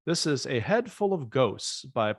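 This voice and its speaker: A man's voice: mid-range voice, sing-song, measured pace, husky, no echo. American male podcast host, wry and a touch showy, dry close sound. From United States. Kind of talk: casual podcast banter.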